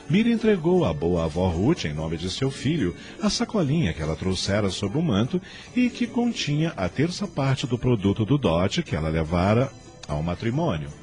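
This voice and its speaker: A man's voice: on the low side, wildly swooping pitch, moderate pace, darkish tone. A man narrating a scripted radio drama in a dramatic storytelling style. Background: faint background music.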